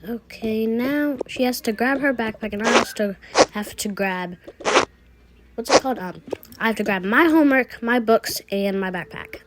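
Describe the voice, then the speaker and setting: A woman's voice: on the high side, normal speed, very noisy. A woman casually improvising narration for a toy-app roleplay video, thinking aloud through each small action. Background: music.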